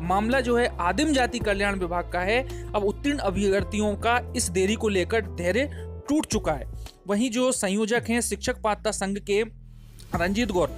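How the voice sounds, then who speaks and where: High-pitched man presenting over music. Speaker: YouTube channel host. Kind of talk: news update narration to subscribers. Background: background music.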